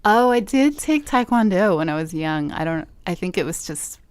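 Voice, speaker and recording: A woman's voice: lowish voice, animated, moderate pace, no echo. A young woman from Southern California, chatting casually in an interview, with lots of likes and ums. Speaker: guest musician.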